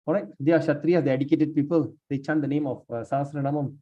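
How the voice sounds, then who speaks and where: A man leading a scripture class, speaking haltingly with many fillers and restarts while he has lost his voice. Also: on the high side, fairly even intonation, mellow. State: lost his voice, sprained neck. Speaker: class leader. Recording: dull lo-fi room recording.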